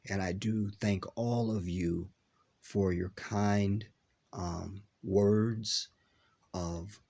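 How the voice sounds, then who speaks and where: Congested male vlogger, deep husky voice, slow rambling delivery. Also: a little mellow. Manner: rambling with many ums, drawn-out words. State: congested from a cold. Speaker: video reaction host. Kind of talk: casual live reaction vlog.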